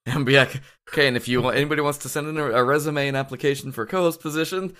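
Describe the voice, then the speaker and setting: A man hosting a casual podcast, a bit hoarse after shouting at a game, chatting in a rambling way with many ums and restarts. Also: mid-range voice, very fast. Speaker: podcast host.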